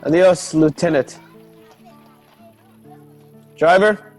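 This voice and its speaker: An actor playing an earnest young gentleman, a loyal son, in a radio drama. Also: fairly high pitch, very slow, soft background music. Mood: polite.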